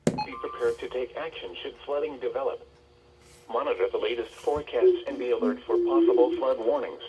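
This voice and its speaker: A man's voice: medium pitch, fairly quick, mellow. A man's voice reading an automated weather bulletin as an announcer, played through a radio speaker. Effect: radio broadcast through a small speaker. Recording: noisy radio speaker picked up in room.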